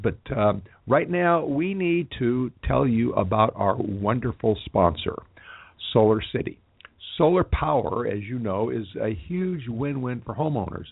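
Halting male radio host, tinny. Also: mid-range voice, gravelly, breathy edge, no echo. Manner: halting, many fillers and restarts. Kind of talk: live radio interview and ad read. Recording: dry, phone-like lo-fi sound.

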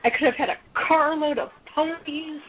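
A woman's voice: high-pitched, steady tone, moderate pace, very noisy. A woman from Illinois co-hosting a podcast, chatting in an emphatic, talkative way. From Illinois, USA. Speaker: podcast co-host. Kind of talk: casual chat. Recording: tinny phone-like call audio.

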